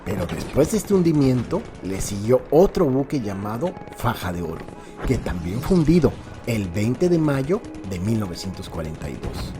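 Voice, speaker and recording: Theatrical Mexican man narrating over loud music. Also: mid-range voice, sing-song, normal speed. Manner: theatrical, addresses listeners directly. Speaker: narrator and host of a true-crime radio serial. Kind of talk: dramatic scripted storytelling narration. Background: background music.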